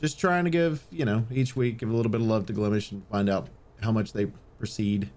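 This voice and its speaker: A man's voice: on the low side, fairly even intonation, fairly quick, a little mellow. A man co-hosting a podcast in casual, jokey banter, often sarcastically acting out imagined dialogue. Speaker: podcast co-host.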